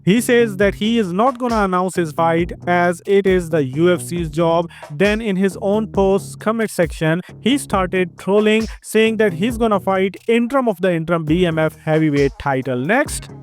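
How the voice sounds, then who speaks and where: A man's voice: high-pitched, normal speed, few pauses, soft background music. A young man with an Indian accent hosting an upbeat, scripted fight news show.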